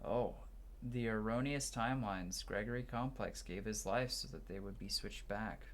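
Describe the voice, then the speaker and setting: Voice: medium pitch, normal speed, noisy background. A person giving casual gameplay commentary and reading game text aloud. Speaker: let's player. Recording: game music behind the voice. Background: game music.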